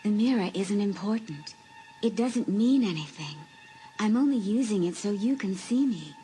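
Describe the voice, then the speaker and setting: A woman's voice: medium pitch, lively intonation, soft background music, lightly acted. Whispery, pleading ghost of a teenage girl, acted by a woman. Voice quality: breathy, whispery. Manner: slow, hushed, earnest. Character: ghost of a sixteen-year-old girl in a mirror, pleading, wistful. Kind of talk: dramatised audiobook acting.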